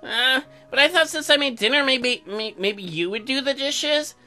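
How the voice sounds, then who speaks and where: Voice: high-pitched, normal speed, pushed and bright, faint music behind, pitched above the performer's own voice. A performer voicing a meek, hesitant young husband in a visual novel, stammering and apologetic. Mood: sheepish.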